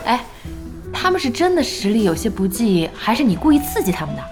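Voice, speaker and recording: Spirited high-pitched young woman boss. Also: sing-song, moderate pace. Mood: teasing, scornful. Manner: playful, teasing, blunt. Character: spirited young female boss, teasing and sharp-tongued. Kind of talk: Chinese radio drama dialogue. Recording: music behind the voice.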